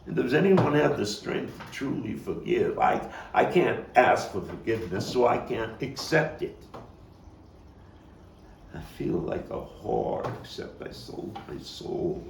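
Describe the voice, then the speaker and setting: A haunted Brooklyn man acted by a man on stage confesses in a low, gravelly voice. He is brooding and halting, full of bitterness and anguish. The theatrical monologue is picked up from a distance in a roomy space. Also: lively intonation. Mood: tormented, remorseful. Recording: roomy, distant mic, faint noise.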